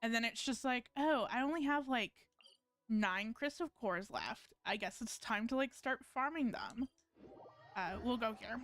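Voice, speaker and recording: A woman's voice: a little high, unhurried, a bit bright. A woman giving casual live-stream commentary on a game, rambling and thinking aloud as she plays.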